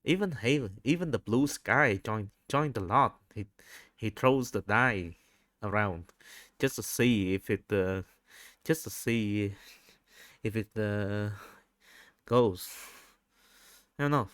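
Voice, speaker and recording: Slow-talking man with a Vietnamese accent. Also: fairly low pitch, a bit bright, dry recording, studio quality. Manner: halting, repeats and rephrases phrases. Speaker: fan translator recording an audio log. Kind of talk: spontaneous, unscripted audio log.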